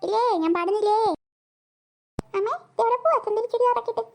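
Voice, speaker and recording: A performer voicing an excitable, whiny and playful little girl in a comedy cartoon. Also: very high voice, brisk, vocal fry, soft background music. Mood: whiny, pleading.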